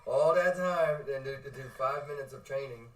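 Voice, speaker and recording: A man's voice: mid-range voice, normal speed. Rambling man in a roomy room. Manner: rambling, fills with "like" and "you know what I mean". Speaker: podcast host. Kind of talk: casual podcast chat. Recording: roomy with faint noise.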